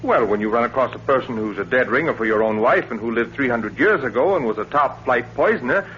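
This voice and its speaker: A man plays a troubled suburban husband, affectionate but suspicious and probing. His voice is forceful and swings widely in pitch, in old radio drama manner. The recording is dull, with a faint hum. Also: lively intonation, quick pace, close to an everyday voice. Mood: uneasy, probing. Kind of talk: 1940s radio thriller acting.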